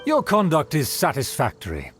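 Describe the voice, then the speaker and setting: Casting: snobbish game aristocrat, haughty and florid, highish pitch with theatrical swings, light music underneath. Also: male voice, wildly swooping pitch, normal speed. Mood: haughty, approving. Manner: haughty, pompous, florid formal diction. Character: pompous, snobbish male aristocrat obsessed with noble etiquette. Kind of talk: theatrical video game character acting.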